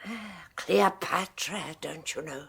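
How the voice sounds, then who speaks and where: An actress playing a prim, genteel, fussy older sister in middle age, with a British accent and a gushing manner. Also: female voice, fairly low pitch, takes it slowly, vocal fry, a bit bright, slightly rough, full character voice. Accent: British English. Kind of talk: 1980s radio drama acting.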